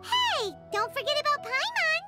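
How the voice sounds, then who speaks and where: Squeaky, bouncy little fairy. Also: female voice, sing-song, normal speed, sharp tone, heavily acted. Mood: perky, boastful. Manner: chirpy, speaks of herself in third person. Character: tiny perky childlike female fairy companion, boastful, eager. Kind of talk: scripted video game dialogue. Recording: game music behind the voice.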